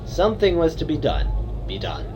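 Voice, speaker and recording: A man voicing a mad, filthy male storyteller who speaks in hushed, ominous tones and repeats his own phrases. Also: fairly high pitch, wildly swooping pitch, moderate pace, over music.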